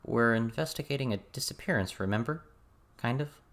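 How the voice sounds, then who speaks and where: A man's voice: medium pitch, moderate pace, a bit bright, natural voice. Man as a dry, guarded teenage girl.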